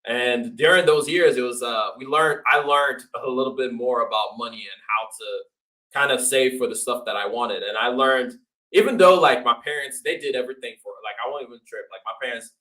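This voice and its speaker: A man from Nigeria speaks as a guest in a casual interview. His voice sits at an average pitch with a sharp, bright edge. He tells stories informally, with slang and quoted asides. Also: fairly quick, rough recording. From Nigeria until age twelve, then the United States. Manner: informal, slangy, quoting himself and others. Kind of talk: casual storytelling chat.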